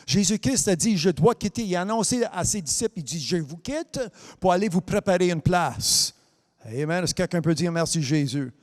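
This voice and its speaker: Male preacher, high voice, English as his first language, amen-heavy sermon with stops and restarts, hall reverb trailing behind. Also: lively intonation, a little mellow. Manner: peppers lines with amen and hallelujah, halting restarts, sometimes sing-song. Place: church hall.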